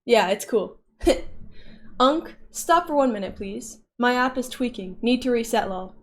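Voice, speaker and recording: A woman's voice: fairly high pitch, expressive, unhurried. A woman streamer chatting casually with her viewers, playful and often laughing as she reads chat messages aloud.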